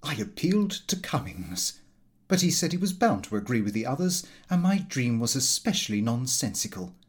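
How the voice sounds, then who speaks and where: A man reading a comic first-person diary aloud in an expressive, fussy storytelling manner. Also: mid-range voice, moderate pace, breathy edge. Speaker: audiobook narrator.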